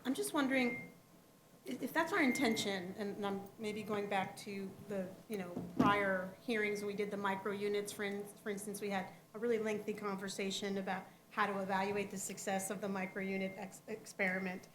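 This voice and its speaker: A slightly hoarse woman commissioner thinks aloud at a public planning meeting, circling back and restarting her sentences. Her voice carries the echo of a large meeting hall. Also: mid-range voice, fairly even intonation, a little fast, background noise. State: losing her voice.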